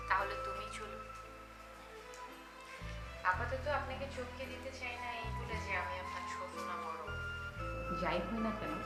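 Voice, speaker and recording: A woman acting a playful romantic of a Bangla TV drama, bold and flirtatious. She talks in a teasing, musing way at a medium pitch, with music clearly playing behind her. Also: close to an everyday voice, low-quality recording.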